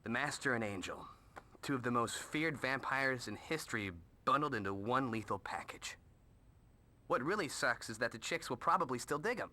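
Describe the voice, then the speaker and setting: A man's voice: mid-range voice, expressive, normal speed, pushed and bright. An actor playing a joking, self-deprecating young male sidekick in a video game, with an American accent. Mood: wry concern. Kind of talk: video game cutscene dialogue.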